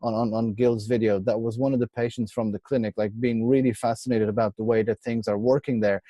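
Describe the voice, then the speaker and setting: A man from Portugal hosting a casual interview, chatty and quick to laugh, often repeating his words. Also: medium pitch, level tone, dark tone, dry recording. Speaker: podcast host.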